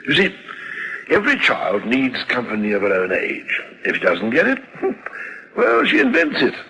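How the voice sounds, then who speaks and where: An actor playing an avuncular, unhurried old family doctor in a radio drama, full of reassurance. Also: male voice, medium pitch, huge pitch swings, bright, forceful tone, slight background noise, heavily acted, poor audio. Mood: reassuring.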